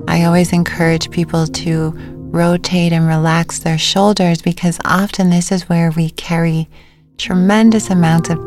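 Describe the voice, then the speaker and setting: A woman meditation teacher giving gentle, unhurried guided instructions with pauses between phrases. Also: low-pitched. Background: music.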